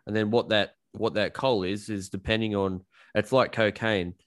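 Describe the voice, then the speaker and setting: A man's voice: fairly low pitch, fairly even intonation, normal speed, no echo, clean studio sound. An Australian man chatting casually as an interview guest, explaining things in a blunt, jokey, colloquial way. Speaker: podcast guest, coal miner. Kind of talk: casual chat, explaining his work.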